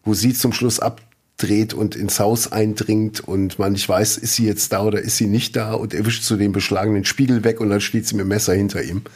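A man's voice: lowish voice, level tone, a little fast, a little mellow, husky. A man in his fifties, co-hosting a podcast in casual chat, telling rambling stories and correcting himself as he goes. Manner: rambling, self-correcting storyteller. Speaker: podcast co-host.

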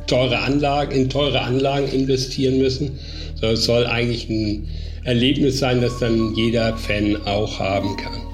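A man giving a spontaneous interview about production work, with halting phrasing. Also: mid-range voice, little pitch movement, music in the background.

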